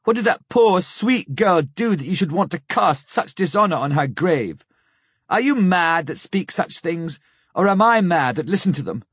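A man's voice: a little high, steady tone, fairly quick, hardly any pauses, dark tone, husky, dry recording, studio quality. A man voicing a young nobleman who speaks with indignant, outraged passion in an audiobook. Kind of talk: audiobook character reading.

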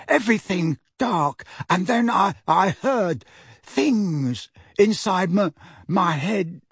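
A man voicing a spoiled teenage boy in an audiobook, dazed and shaken, mumbling haltingly in a hoarse voice. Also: high-pitched, no room sound, clean studio sound, voice pushed up. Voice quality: hoarse, croaky.

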